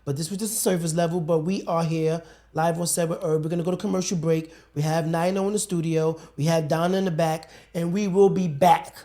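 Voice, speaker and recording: A male talk-show host with a mellow, relaxed tone chats casually with his guest. His questions ramble in a colloquial, easygoing way. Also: a little high, a little fast, few pauses. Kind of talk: casual interview chat.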